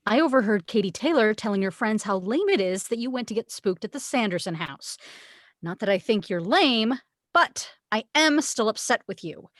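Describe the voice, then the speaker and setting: An upset mother, played by a woman, reproachful and stern. Her voice sits fairly high in a dry, close sound, scolding with worry as in a script read aloud. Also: animated, normal speed, no room sound, lightly acted. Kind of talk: at-home script table read.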